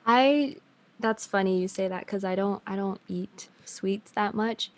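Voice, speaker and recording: A woman's voice: mid-range voice, unhurried. A young woman from China raised in Canada, with a Canadian accent tinged slightly Chinese, chatting casually with lots of ums and restarts. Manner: chatty, laughs easily, many ums and restarts. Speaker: guest. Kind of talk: casual chat.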